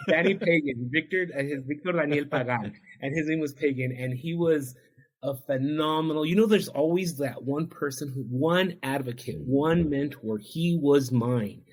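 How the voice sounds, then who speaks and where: A man's voice: a little high, moderate pace. Earnest man, Spanish his first language. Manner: earnest, heartfelt, reflective. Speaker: addiction counselor, podcast guest. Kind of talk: podcast interview, personal storytelling.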